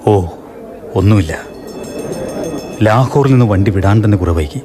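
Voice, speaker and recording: In a radio drama, a man plays a weary engine driver who hides his unease behind short answers. His voice is low but swings widely, with clear noise behind. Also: expressive, a little slow. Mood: uneasy. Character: engine driver, tired and troubled.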